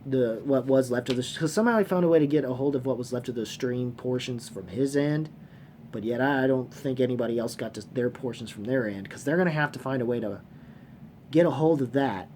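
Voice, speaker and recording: A man hosting a casual fan vlog, talking off the cuff in a halting, rambling way, repeating phrases and swearing casually. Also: medium pitch, darkish tone. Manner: casually profane. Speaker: host of a movie trivia fan channel. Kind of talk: casual unscripted vlog commentary. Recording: clearly noisy room sound.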